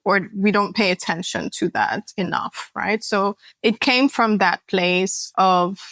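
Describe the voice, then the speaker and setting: A woman's voice: mid-range voice, fairly even intonation, takes it slowly, no room sound. Reflective Sudanese-born woman guest, dry sound. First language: Sudanese Arabic. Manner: reflective, frequent fillers and soft laughs. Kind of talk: casual interview conversation.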